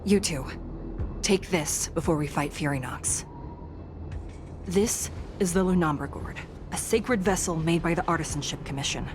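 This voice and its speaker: A woman plays a dutiful warrior in a video game, composed and authoritative. Her slightly low voice is formal and measured, set over background music. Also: close to an everyday voice.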